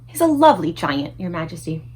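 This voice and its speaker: A woman reading a storybook voices a polite, timid little girl who speaks softly and earnestly. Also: fairly low pitch, very animated, a little fast, voice pushed down, low-quality recording. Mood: earnest, reassuring. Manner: soft, murmuring, earnest.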